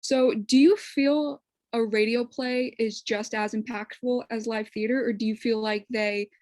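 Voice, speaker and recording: A woman with a somewhat high voice, moderating a panel discussion. She frames her question with hesitations and corrects herself mid-sentence. The sound is close and dry, with no room echo. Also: steady tone, measured pace, darkish tone.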